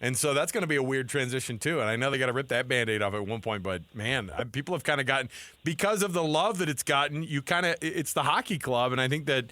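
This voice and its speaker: A man's voice: mid-range voice, fast, pushed and bright, dry recording. A man hosting sports talk radio, chatting casually in a rambling, colloquial way.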